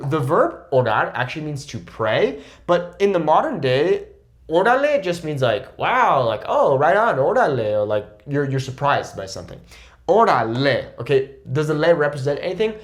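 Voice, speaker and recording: Young male language tutor, a bit high-voiced, animated and chatty, keeps asking "okay?". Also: normal speed. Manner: lively, explanatory, often checks in with "okay?". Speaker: Spanish teacher. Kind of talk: casual video lesson.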